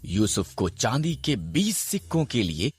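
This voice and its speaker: A man narrating a scripted children's Bible story in a deliberate, dramatic storytelling manner. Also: medium pitch, very animated, husky.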